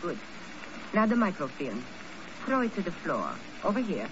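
A woman playing a poised, duplicitous, coolly calculating spy in a 1950 radio drama, with dramatic, deliberate, formal phrasing. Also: fairly low pitch, big pitch swings. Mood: coolly triumphant. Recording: muffled, noisy old radio broadcast.

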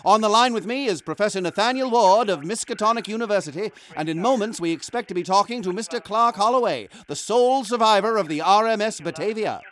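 An actor playing an earnest, polished news reporter broadcasting live in a radio drama. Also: male voice, sits high, animated, quick pace, hardly any pauses, fairly bright tone. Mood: professional, probing. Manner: crisp formal announcer address.

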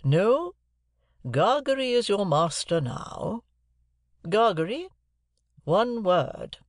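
Narrator putting on a posh bossy old lady, eccentric, high voice, clipped slow emphatic phrases. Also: sing-song, dry recording, clean studio sound. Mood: curt, commanding. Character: imperious, eccentric wealthy older lady.

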